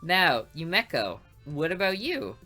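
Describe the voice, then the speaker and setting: A woman voicing a strict, tired high school teacher in a visual novel. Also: very animated, measured pace, pushed and bright, faint music behind, full character voice. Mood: stern. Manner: strict and commanding. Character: stern, weary female high school teacher.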